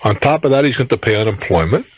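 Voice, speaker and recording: A man's voice: fairly low pitch, lively intonation, quick pace, slightly rough. A man hosting a radio call-in advice show, talking off the cuff in a blunt, argumentative way and readily cutting in. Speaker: radio call-in host giving money advice. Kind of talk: phone-in advice, unscripted conversation. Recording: roomy, dull lo-fi radio tape.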